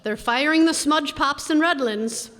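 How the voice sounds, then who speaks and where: A woman playing a practical, gently bossy wife in a radio comedy, folksy and coaxing. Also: high-pitched, measured pace, long reverb tail. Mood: brisk, coaxing. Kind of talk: old-time radio comedy acting before an audience.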